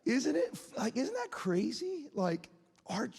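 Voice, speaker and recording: A young man in his late twenties preaches in a loose, candid way, laughing and turning to the crowd with questions. His voice sits high, and a long reverb trails his words around a large hall. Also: huge pitch swings, slow pace, vocal fry, a little mellow. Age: 20s. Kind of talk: sermon.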